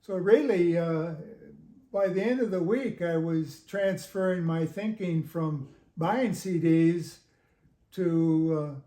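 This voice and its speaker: A man in his seventies giving casual interview answers in a halting, measured way with frequent pauses. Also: on the high side, dark tone. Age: 70s. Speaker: guest reviewer of audio gear. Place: home living room with hi-fi gear. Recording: echoey room.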